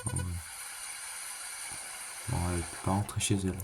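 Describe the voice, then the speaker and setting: A man's voice: deep voice, little pitch movement, normal speed. A man giving a casual, slangy reaction commentary, thinking aloud and repeating himself. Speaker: reaction video creator. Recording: clearly noisy background.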